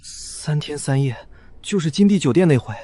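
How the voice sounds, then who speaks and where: A man's voice: on the high side, animated, normal speed, dark tone. An actor playing a plain-spoken, practical male associate in a web-novel drama. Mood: matter-of-fact.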